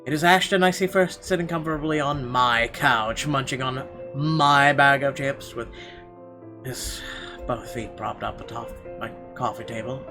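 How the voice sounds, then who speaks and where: A man reading a visual novel aloud as dramatic first-person narration, expressive with occasional stumbles. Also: medium pitch, unhurried. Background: guitar music.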